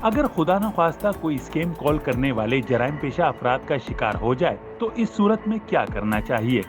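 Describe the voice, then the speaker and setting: A man's voice: medium pitch, sing-song, moderate pace, soft background music. A man with a Pakistani accent reading scripted narration for a news report, posing questions.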